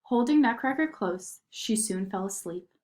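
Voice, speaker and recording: A woman narrating a children's story in an expressive read-aloud style. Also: mid-range voice, moderate pace.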